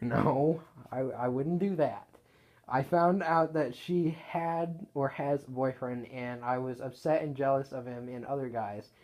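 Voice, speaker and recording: A man reading his own written story aloud in a casual way, halting and correcting himself as he goes. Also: medium pitch, a little mellow.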